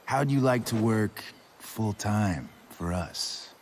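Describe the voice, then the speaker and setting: Game villain: volatile drug dealer, taunting and sarcastic, animated pitch, unhurried menace. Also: male voice, background noise. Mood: mocking. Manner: taunting, drawn-out, sarcastic delivery.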